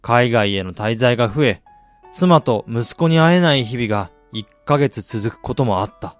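A man acting in a radio drama as a driven businessman and husband, telling his life story in a measured, reflective way. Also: mid-range voice, expressive, lightly acted. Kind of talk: radio drama monologue, literary narration. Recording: music bed behind the voice.

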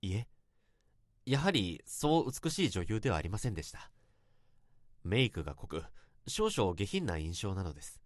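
A self-conscious young man makes a formal, earnest confession in a lowish voice. His delivery moves up and down expressively, and the sound is close and dry, as in a radio drama monologue. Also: big pitch swings, moderate pace, no room sound. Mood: awkward, earnest.

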